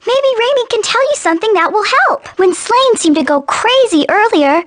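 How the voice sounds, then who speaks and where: A woman playing a tiny, eager, childlike dark fairy in a video game, talking about herself in the third person. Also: extremely high pitch, lively intonation, normal speed, no room sound. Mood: eager, insistent. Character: tiny excitable female dark fairy, speaks of herself by name.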